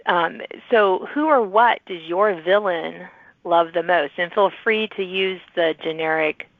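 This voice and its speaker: A woman's voice: lowish voice, a little slow, no echo. Female interviewer for a podcast, dry sound with little room, thin telephone-like audio. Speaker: podcast host interviewing authors. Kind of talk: conversational interview. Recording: thin phone-like line.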